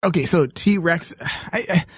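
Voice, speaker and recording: A man's voice: high voice, slow pace, husky, dry recording. A man hosting a comedy news show with casual, crude banter, joking and rambling in an incredulous way.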